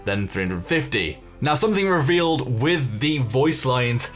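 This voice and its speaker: A man's voice: medium pitch, animated, unhurried, soft background music. A man giving casual, chatty commentary as the host of a gaming news video.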